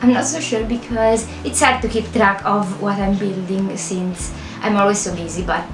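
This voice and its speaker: A woman's voice: mid-range voice, steady tone, moderate pace, reverberant, over music, rough recording. A young woman from Italy with an Italian accent, chatting through a rambling, self-correcting voiceover for her game build videos. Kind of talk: casual voiceover over gameplay.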